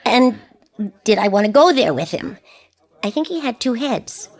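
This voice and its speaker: A woman's voice: fairly high pitch, very animated, normal speed, a faint crowd. A woman playing a wistful, regretful television presenter, confiding and wry, in a comic science fiction radio drama. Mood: confiding, hesitant.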